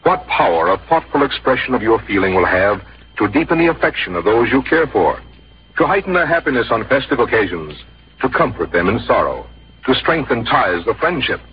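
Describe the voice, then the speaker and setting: An American man working as a radio announcer, reading a scripted 1950s sponsor message in a polished, measured, earnest way. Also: medium pitch, sing-song, breathy edge. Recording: muffled old radio broadcast.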